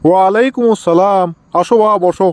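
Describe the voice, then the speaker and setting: A man's voice: sits high, brisk, clean tone, close to an everyday voice. A man voicing a pious, fatherly village Quran teacher who corrects his pupil, in comic cartoon dialogue with a Bogura accent from northern Bangladesh. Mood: courteous. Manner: instructive, correcting.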